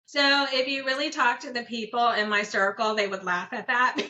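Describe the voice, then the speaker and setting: Middle-aged woman guest with Korean as her first language, bright and forward, thoughtful, filler-heavy; muffled lo-fi audio. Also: on the high side, steady tone, moderate pace. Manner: warm, reflective, many fillers. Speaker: guest, business owner. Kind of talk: casual interview conversation. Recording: dull lo-fi audio.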